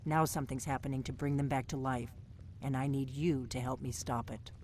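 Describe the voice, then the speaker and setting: A woman's voice: extremely low pitch, fairly even intonation, fairly quick, darkish tone, a little hiss or hum. An actress voicing a guarded, driven veteran female agent in a video game, speaking tersely and with command. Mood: grave, urgent. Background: game music.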